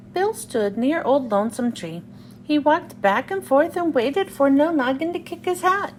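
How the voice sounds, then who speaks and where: A woman's voice: high voice, slight background noise. A woman hosting a read-aloud and reading a children's storybook to young listeners.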